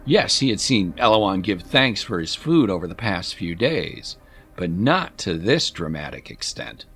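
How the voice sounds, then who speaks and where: A man's voice: sits low, sing-song, measured pace, fairly bright tone, breathy edge. A man narrating a scripted story as an audiobook narrator, in a dramatic storytelling style. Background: music.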